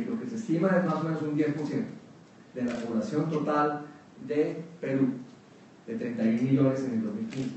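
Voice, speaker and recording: A Colombian man with a fairly neutral accent gives a measured academic talk at a round table, pausing often with fillers and restarts. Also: medium pitch, dark tone, lo-fi sound. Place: conference hall. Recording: distant mic in a very echoey hall with faint room noise.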